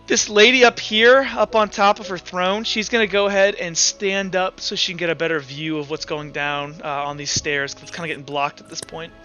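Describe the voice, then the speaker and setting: A man's voice: high-pitched, fairly even intonation, fairly quick, hardly any pauses, sharp tone, dull audio. A man narrating a tabletop roleplaying game as game master, in a casual, informal way. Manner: casual, informal, sound-effect-laden descriptions. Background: music.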